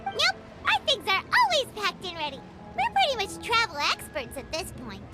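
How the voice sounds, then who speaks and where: A tiny, bubbly fairy companion in a video game, her voice squeaking very high and bouncing all over the place. She chatters about herself in the third person, with loud game music playing underneath. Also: huge pitch swings, normal speed, full character voice. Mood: chirpy. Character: tiny chirpy female fairy-like travel companion.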